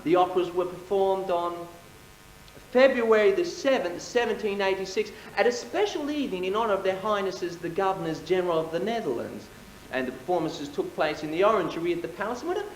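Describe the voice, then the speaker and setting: A man with a high voice delivers a formal stage prologue, lecturing like a learned host and pausing on the odd filler. The sound is roomy and carries a faint hiss from a live theatre recording. His tone stays measured and explanatory. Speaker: on-stage narrator.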